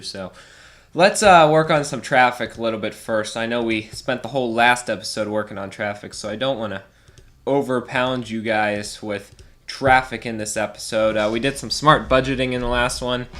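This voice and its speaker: Man, stuffed-up nose, casual let's play host, chatty and laughing, mid-pitched voice. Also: fairly bright tone. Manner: chatty, laughs often, thinks aloud. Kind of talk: casual gameplay commentary.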